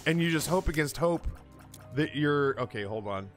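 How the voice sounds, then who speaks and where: A man's voice: on the high side, expressive, normal speed, soft background music. A man in his thirties who streams, telling stories in a rambling, profane, self-mocking chat. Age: 30s. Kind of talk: casual storytelling chat.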